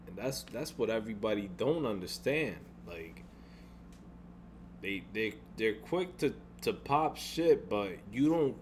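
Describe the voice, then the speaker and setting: Deep-voiced young man venting, rambling. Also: darkish tone, slight background noise. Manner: frustrated, rambling with frequent restarts and swearing. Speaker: gaming YouTuber. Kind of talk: casual venting vlog.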